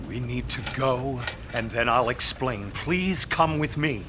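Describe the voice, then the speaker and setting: An actor playing a proud, defensive aristocratic lord in a period audio drama, formal and intense, with bitterness and regret. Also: male voice, medium pitch, a little slow, noisy background, close to an everyday voice. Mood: urgent.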